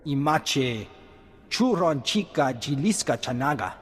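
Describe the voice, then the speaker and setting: A fussy alien go-between, voiced by a man, chatters away in an alien tongue at a middling pitch. A long reverb trails each line, with quiet game music behind. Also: lively intonation, a little slow, lightly acted. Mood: chattering. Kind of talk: video game cut-scene acting.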